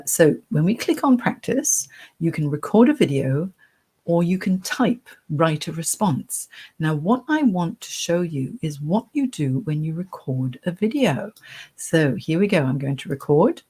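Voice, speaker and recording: Soft-voiced woman career coach, husky and low. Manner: chatty, reassuring, talks through clicks. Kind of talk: screen-share tutorial.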